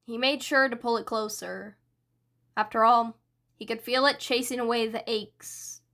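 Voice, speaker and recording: A woman reading fanfiction aloud in soft, unhurried audiobook narration. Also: a little high, steady tone. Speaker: fanfiction reader.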